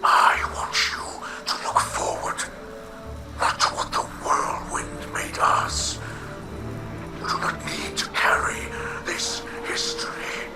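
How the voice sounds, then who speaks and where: A solemn alien warrior, a protective father burdened by his past. His speech is formal and guarded, with a processed creature timbre. It echoes beneath loud, dramatic game music. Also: slow. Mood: solemn pleading. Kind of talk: dramatic video game cutscene acting.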